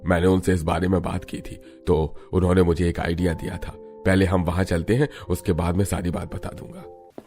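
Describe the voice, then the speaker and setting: A man playing a calm, practical village headman in a cartoon story, explaining in a measured way. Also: low-pitched, faint music behind, close to an everyday voice. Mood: reassuring. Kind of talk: Hindi cartoon story acting.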